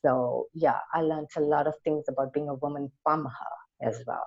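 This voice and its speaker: A woman's voice: sits low, fairly even intonation, normal speed. A South African woman answering interview questions conversationally, with an earnest, enthusiastic manner. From Durban, South Africa. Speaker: interview guest, entrepreneur. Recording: dull, lo-fi audio.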